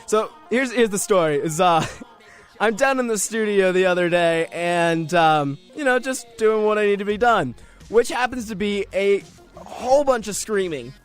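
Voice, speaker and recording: High-voiced young man chatting on radio. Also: expressive, normal speed, clean tone. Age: young adult. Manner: rambling, self-deprecating, jokey, often chuckling. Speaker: radio show host. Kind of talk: casual solo radio chat. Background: music under the voice.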